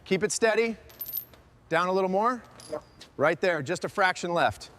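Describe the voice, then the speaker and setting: A man's voice: high voice, animated, moderate pace, fairly bright tone. A man working as a crew member, giving short, businesslike procedural call-outs.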